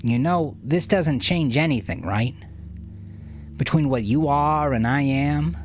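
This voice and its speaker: A man voicing a worn, wary, wry man who speaks softly, tersely and hesitantly in an audiobook story. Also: a little high, slow, faint music behind, voice pushed up. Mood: earnest, wary. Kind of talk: dramatised audiobook dialogue.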